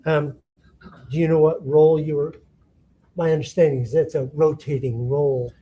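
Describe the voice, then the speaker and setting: A man questioning a witness in a courtroom as prosecutor, halting with many fillers and restarts. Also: fairly high pitch, flat delivery, warm tone, low-quality recording.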